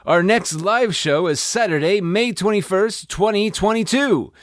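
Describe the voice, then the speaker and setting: A man's voice: sits high, a little slow, fairly bright tone, no echo, studio quality. A man announcing the credits of a radio comedy show in a scripted read with playful asides. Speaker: announcer reading the show's credits.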